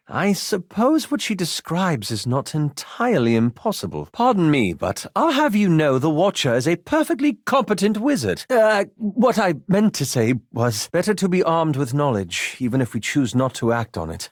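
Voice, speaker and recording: Game role: a bookish elf wizard, lightish voice, very expressive pitch, prim and sardonic, recorded dry. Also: male voice, no echo. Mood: scholarly, wry. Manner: precise, formal, dry and exasperated diction. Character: prim, scholarly, wryly sarcastic male elf wizard. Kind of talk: video game character dialogue.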